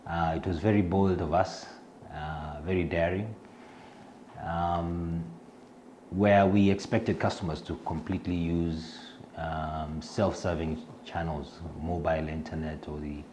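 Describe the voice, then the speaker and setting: A man's voice: sits low, fairly even intonation, a little slow, darkish tone, slight background noise. A middle-aged Kenyan man with a Kenyan accent, introducing himself in an interview, hesitant with many fillers and restarts. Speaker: fintech executive.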